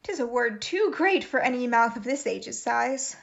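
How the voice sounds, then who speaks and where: A woman's voice: fairly high pitch, lively intonation, moderate pace, creaky. A woman acting a merry, teasing young noblewoman in a Shakespearean radio play, exuberant and theatrical, on a lo-fi recording. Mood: playful.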